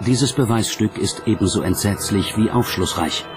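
A man with a fairly low voice reads scripted documentary narration. Music plays prominently under his voice. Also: moderate pace. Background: background music.

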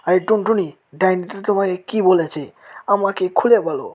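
A man's voice: high-pitched, brisk, a little mellow, dull audio. A man voicing a helpful, concerned male cartoon friend with a reassuring manner. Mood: curious, indignant. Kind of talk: cartoon dialogue.

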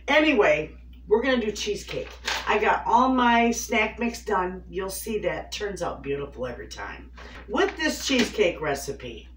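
A woman in her fifties chatting to viewers in a homey way while cooking in her home kitchen. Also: on the low side. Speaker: homestead cooking vlogger. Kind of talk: casual cooking chat to camera. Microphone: far camera on a tripod. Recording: echoey kitchen from a distance, faint noise.

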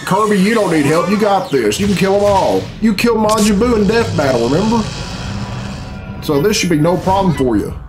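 Higher-pitched man grumbling through reaction commentary. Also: expressive, a little fast. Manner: blunt, grumbling, puzzled, swears freely. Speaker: reaction video host. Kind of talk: casual off-the-cuff reaction commentary. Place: small furnished room at home. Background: game cutscene music and sound.

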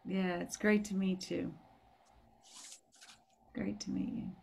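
Woman hosting a casual group call, lots of vocal fry, medium pitch. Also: little pitch movement, takes it slowly, darkish tone. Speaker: host, course teacher. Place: indoors on a group video call.

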